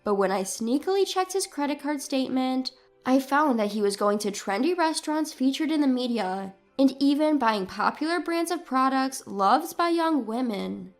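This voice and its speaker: A woman's voice: high voice, lively intonation, normal speed, soft background music. A woman reading a scripted first-person dramatic story expressively and with care, as its narrator.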